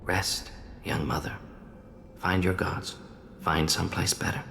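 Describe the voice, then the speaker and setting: A man's voice: lowish voice, expressive, moderate pace, fairly bright tone, a little hiss or hum. A man acting as a brilliant, defensive and remorseful alien scientist in a video game, speaking in clipped, analytical fragments that drop small words. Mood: quiet, regretful. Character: fast-thinking alien scientist doctor, brilliant, defensive, remorseful male Salarian. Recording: long reverb with music underneath.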